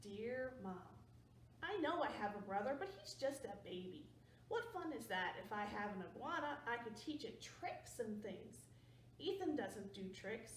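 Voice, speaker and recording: A woman's voice: on the high side, big pitch swings, normal speed, slight background noise. Woman reading as a pleading little boy. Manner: pleading, earnest. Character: eager young boy writing pleading letters. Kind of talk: picture book read-aloud. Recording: roomy sound from a distance.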